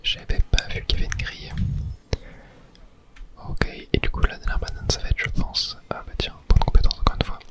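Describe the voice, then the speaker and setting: Casting: ASMR gaming host, breathy close-up whisper throughout, casual unhurried running commentary. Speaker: host playing a video game. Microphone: close microphone.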